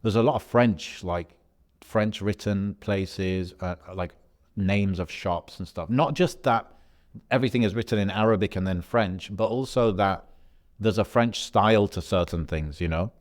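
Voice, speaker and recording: A man from Algeria, a podcast co-host, telling a travel story in a relaxed, rambling way with slang and fillers. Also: lowish voice, measured pace. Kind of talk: casual podcast chat.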